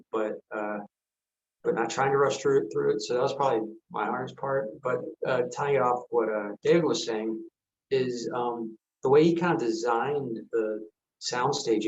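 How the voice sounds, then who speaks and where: A man, an actor, answering casually and haltingly in a video call Q&A. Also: medium pitch. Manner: halting, hesitant. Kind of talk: casual Q&A discussion. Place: echoey room on a video call. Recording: roomy, dull video-call audio.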